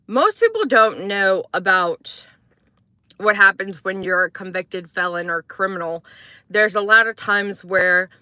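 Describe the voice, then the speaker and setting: Young woman from croatia, rambling true-crime stream host, bright crisp voice, dry close sound, chats with viewers. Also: medium pitch, dry recording. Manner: chatty, rambling, reads and answers chat. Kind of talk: casual morning livestream commentary.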